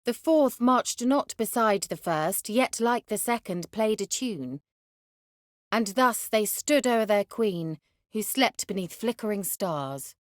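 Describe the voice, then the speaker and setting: A woman's voice: mid-range voice, lively intonation, takes it slowly, no room sound, studio quality. A woman playing a haughty, sly sorceress in a fantasy video game, articulate, wry and teasing. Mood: musing, reciting.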